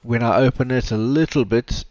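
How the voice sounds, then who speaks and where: A man's voice: medium pitch, normal speed, a little mellow, no echo. A man with a South African accent, chatting casually while streaming a game, rambling and correcting himself as he goes. His mic sounds dull and lo-fi, with faint hiss. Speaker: streamer host. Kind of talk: casual gaming chat.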